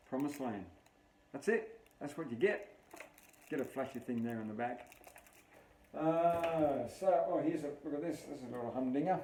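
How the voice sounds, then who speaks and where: A man's voice: a little high, mellow. An Australian man in his fifties hosting a casual show-and-tell vlog, talking in an enthusiastic, breezy, rambling way. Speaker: video host, memorabilia collector. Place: small memorabilia room at home, indoors. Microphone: camera a few steps away. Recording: roomy, distant camera mic with faint hiss.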